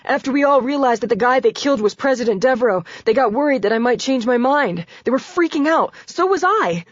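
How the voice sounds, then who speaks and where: A woman's voice: high voice, lively intonation, a little fast, dry recording, muffled recording, voice pushed up. A woman narrator voicing a panicked male film star who pleads and blurts out everything in an audiobook. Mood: frantic. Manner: frantic pleading.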